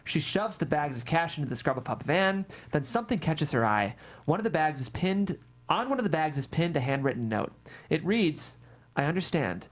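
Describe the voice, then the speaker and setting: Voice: mid-range voice, big pitch swings, moderate pace. A narrator reading scripted stage directions at a table read of a screenplay.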